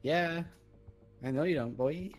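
A man's voice: a little high, unhurried. A man in casual gaming banter as a player, hesitant and grumbling with dry jokes. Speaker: player in card game video. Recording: music behind the voice.

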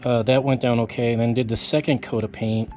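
A man's voice: medium pitch, little pitch movement, brisk, darkish tone. A middle-aged man casually explaining his renovation work step by step in a plain, laid-back way, outdoors on a driveway. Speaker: RV renovation vlogger. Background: background music.

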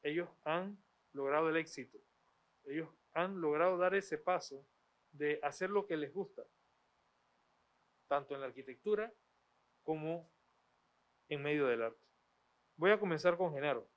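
Formal man moderating a panel. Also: fairly high pitch. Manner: formal, measured delivery with frequent pauses. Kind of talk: reading guest introductions, then moderating. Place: university TV studio set. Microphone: handheld microphone.

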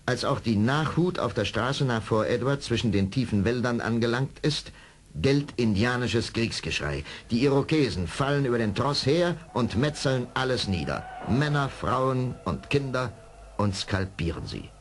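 A man's voice: medium pitch, expressive, normal speed, slight background noise. A man giving dramatic scripted narration for an adventure audio drama.

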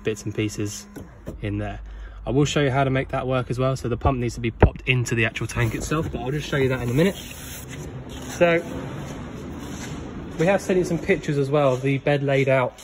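A man's voice: mid-range voice, normal speed, background noise. Man giving a casual van walkthrough. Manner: relaxed, many ums, explains plainly. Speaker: camper van handover guide. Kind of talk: casual walkthrough, filmed on phone. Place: in and around a parked van, workshop. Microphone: handheld phone, one-handed filming.

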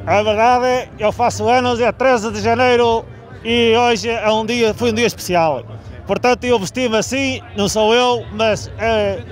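High-voiced Portuguese man at a festival. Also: fairly even intonation, measured pace, a faint crowd. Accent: European Portuguese. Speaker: festival visitor being interviewed. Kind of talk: casual street interview. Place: outdoors at night at a street festival. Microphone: handheld reporter microphone.

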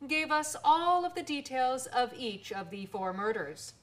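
A woman playing a matter-of-fact, knowing police officer who speaks deliberately and pointedly in a radio drama. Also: sits high, very animated, fairly bright tone, heavily acted. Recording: clearly noisy radio drama recording.

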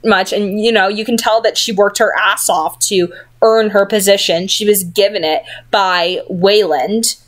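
A woman's voice: mid-range voice, fairly even intonation, unhurried. A woman in her twenties reading a novel aloud and commenting casually in a small room at home. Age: 20s. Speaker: amateur book narrator and vlogger. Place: small bedroom, indoors.

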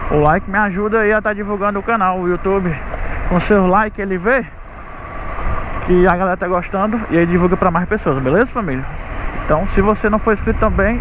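A young man, chatting casually to his viewers while riding a motorcycle at night, recorded on a helmet camera. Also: sits high. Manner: chatty, friendly, calls viewers family. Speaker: motovlogger host. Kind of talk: casual motorcycle vlog narration. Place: riding a motorcycle on a city beachfront road at night. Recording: traffic and wind noise outdoors.

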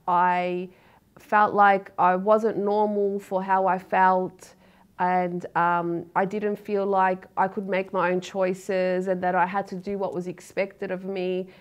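An Australian woman in her thirties talks about her work in a TV studio interview. She sounds earnest and open, often pausing on fillers and restarting phrases. A lapel mic keeps her voice close and clean. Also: mid-range voice. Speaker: poet, interview guest. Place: dark TV studio, seated in a chair.

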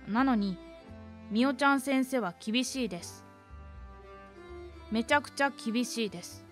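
A brash schoolboy from a voice drama, acted by a woman, with a slightly high, boyish voice. He talks bluntly and gets defensive easily, yet is good-hearted, and soft music plays behind him. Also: natural voice. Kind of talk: voice drama acting.

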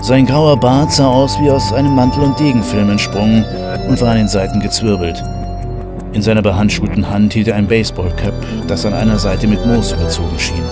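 A male narrator tells a scary story as scripted audio drama. His voice is on the low side, with music clearly playing behind it. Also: fairly even intonation, brisk.

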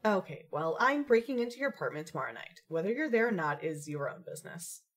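A woman's voice: on the low side, very animated, fast talker. A woman playing a shrewd, self-assured young woman with a dry, wry delivery in an audio drama.

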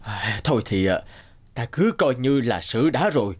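An actor playing a meek, bumbling old god in an animated fairy tale, hesitant, fretful and apologetic. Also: male voice, mid-range voice, normal speed, lightly acted. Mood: resigned. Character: bumbling, flustered old male sower god, meek servant. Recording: music behind the voice.

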